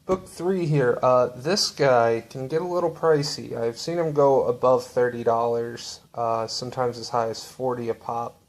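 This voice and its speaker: A man showing off books from his shelf in a relaxed, rambling way with lots of fillers. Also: medium pitch, moderate pace, few pauses. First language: English. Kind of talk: casual show-and-tell.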